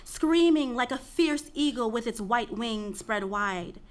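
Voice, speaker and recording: A woman's voice: on the high side, animated, takes it slowly. A woman playing an urgent chorus member, vividly declaiming battle verse in a Greek tragedy. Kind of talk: dramatic reading of Greek tragedy.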